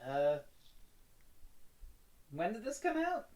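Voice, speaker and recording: A woman's voice: mid-range voice, huge pitch swings, slow pace, dark tone. A woman co-hosting a casual review podcast, bantering in a sardonic, playfully theatrical way. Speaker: podcast co-host.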